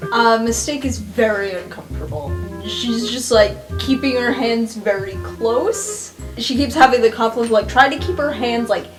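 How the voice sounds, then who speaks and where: A woman's voice: fairly high pitch, normal speed, hardly any pauses, over music. A woman player in casual, improvised tabletop roleplay, describing her character's actions and speaking for it.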